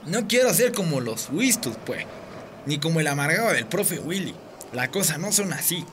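A man's voice: fairly high pitch, very animated, normal speed, a bit bright, slight background noise. A man with a Bolivian accent playing a principled, earnest teenage boy in a radio drama. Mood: indignant.

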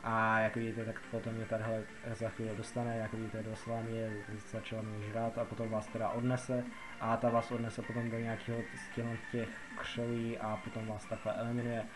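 A man's voice: fairly low pitch, completely flat delivery, noisy background. A young adult Czech man giving a casual game tutorial, explaining in a rambling, repetitive, colloquial way. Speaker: gaming video host.

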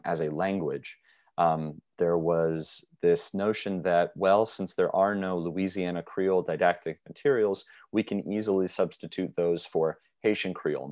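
Low-voiced man, dry close mic. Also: darkish tone, no room sound. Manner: measured, hesitant with fillers. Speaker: linguist presenting a conference paper. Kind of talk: academic talk over video call. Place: small room indoors. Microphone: video call webcam mic.

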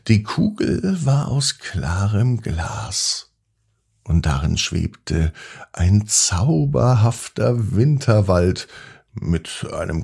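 A man hosting a children's podcast, narrating expressively and gently with drawn-out emphasis. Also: on the low side, creaky, slightly rough. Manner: gentle, drawn-out emphasis, addressing young listeners. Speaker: children's podcast host and storyteller.

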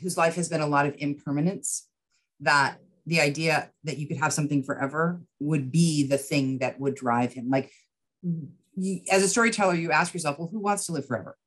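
A middle-aged woman with a distinctly low-pitched voice answers as an interview guest. She explains things in a thoughtful, conversational way over a video call. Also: brisk. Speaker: interview guest, writer and producer. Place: indoors, book-lined room, video call.

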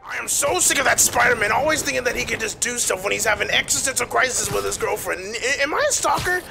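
A man plays an irate radio host in a video game parody. His voice is extremely high and gravelly as he rants and blusters, shouting over music. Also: expressive, fast, full character voice. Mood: outraged. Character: irate, ranting male radio show host. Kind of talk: improvised comedic game dub.

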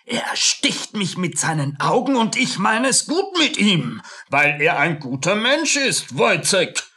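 Radio play casting: a blustering captain, sharp and raspy, pompous and easily rattled. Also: male voice, high voice, sing-song, takes it slowly, heavily acted. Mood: blustering, wounded. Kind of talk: German radio play acting.

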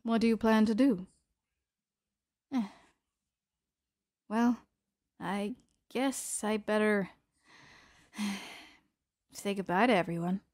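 A woman voicing a thoughtful young adventurer in an anime audio drama, phrasing things deliberately with pauses. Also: medium pitch, darkish tone, dry recording, studio quality, lightly acted. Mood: hesitant, gentle.

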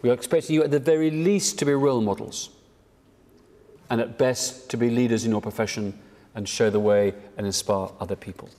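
An older man with a British accent gives a prepared address from a lectern in a measured, emphatic way, as the director of an organisation. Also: medium pitch, fairly even intonation, dark tone. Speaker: charity director addressing seminar. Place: indoor hall, at a lectern. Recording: reverberant hall.

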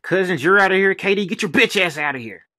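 A man's voice: high voice, expressive, fast, pushed and bright, no room sound, studio quality. A man voicing a hot-headed, trash-talking athlete with an aggressive, profane manner in a comedy voice-over. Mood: angry.

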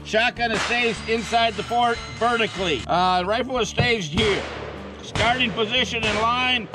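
Older guy range officer, high pitched, takes his time, matter of fact, outdoor audio w music over it. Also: bright, forceful tone. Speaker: range officer at a shooting match. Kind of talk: spoken instructions at an outdoor match. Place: outdoor shooting range among trees.

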